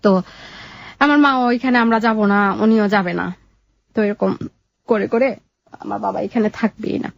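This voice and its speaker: A young woman with a Bangladeshi accent telling her life story in an interview, in a halting, colloquial way. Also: mid-range voice, gravelly, no room sound, highs cut off.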